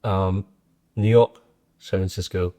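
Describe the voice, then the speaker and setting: A man's voice: sits low, steady tone, slow pace, dark tone. A man in his forties from Australia hosting a podcast, chatting casually with lots of fillers and tag questions. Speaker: podcast host. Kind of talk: casual interview chat.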